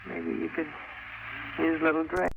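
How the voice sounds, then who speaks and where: A woman's voice: low voice, flat delivery, moderate pace, creaky. An American actress playing a flirtatious, teasing housewife in a golden age radio drama, with a coy, suggestive drawl in her delivery. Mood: coy, inviting. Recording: noisy old radio drama recording.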